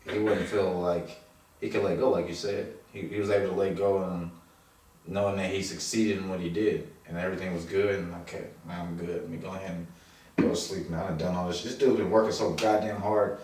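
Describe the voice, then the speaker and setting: A man's voice: low voice, steady tone, fairly quick, darkish tone, a little hiss or hum. A man in his thirties talking loosely and casually about a film, with slang and emphatic swearing. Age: 30s. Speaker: film review podcast co-host. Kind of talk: casual chat, film review. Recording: roomy echo, distant microphone.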